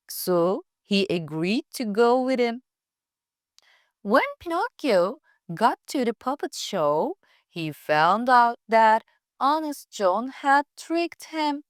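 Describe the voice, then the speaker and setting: A woman reading a scripted children's fairytale aloud for English learners, with careful, deliberate phrasing. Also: high-pitched, smooth, no echo, clean studio sound. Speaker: storyteller.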